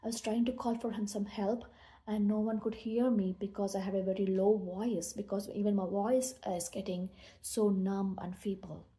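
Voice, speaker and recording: Mellow-voiced Indian woman telling her story. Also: medium pitch, steady tone, moderate pace. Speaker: meditation speaker. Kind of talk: personal storytelling talk.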